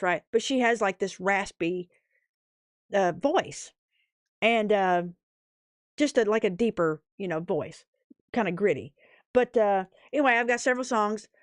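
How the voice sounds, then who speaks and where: A woman's voice: mid-range voice, no echo, clean studio sound. A woman hosting a livestream, chatting casually and rambling to viewers, emphatic and often checking in with short tag questions. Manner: emphatic, often tags points with "okay?" and "right?". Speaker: YouTube livestream host.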